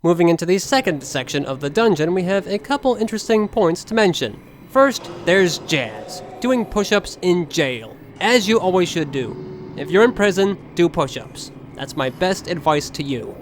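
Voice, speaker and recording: A man's voice: sits high, very animated, normal speed, slight background noise. A man giving jokey, tongue-in-cheek commentary over game footage. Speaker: video narrator. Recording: music behind the voice.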